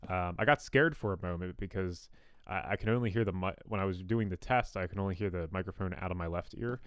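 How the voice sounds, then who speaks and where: Deep-voiced young man, rambling. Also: fast, fairly bright tone, clear, resonant tone, no echo. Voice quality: fairly deep radio-style voice. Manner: rambling, self-deprecating, frequent ums and restarts. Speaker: gaming YouTuber. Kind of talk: casual commentary while building in a game. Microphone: new studio condenser mic.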